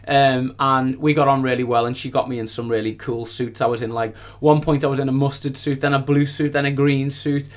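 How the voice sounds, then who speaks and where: A man's voice: medium pitch, steady tone, fairly quick, few pauses. A man speaking as a musician guest, telling anecdotes in a casual, gushing, enthusiastic way. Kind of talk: casual anecdotal interview chat.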